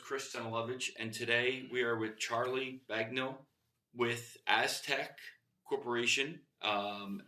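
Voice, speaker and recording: A man hosts a podcast in a friendly, loose way that rambles, with plenty of ums. His voice is bright and sits at a middle pitch. The room around him rings with echo. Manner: friendly, rambling, many fillers. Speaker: podcast host. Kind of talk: casual podcast hosting.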